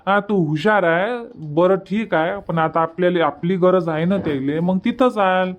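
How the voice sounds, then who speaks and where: Guy doing a cartoon village husband, pretty high voice for a man, impatient, matter of fact, varhadi marathi accent. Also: muffled recording. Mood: impatient, practical. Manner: brisk, persuasive, matter-of-fact. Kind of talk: comic cartoon dubbing.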